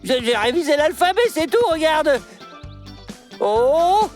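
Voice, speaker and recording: A woman voicing a flustered, simple-minded, grumbly cartoon dinosaur in a hesitant, exclamatory way, for an animated dub. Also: high voice, slow. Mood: defensive, flustered. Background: background music.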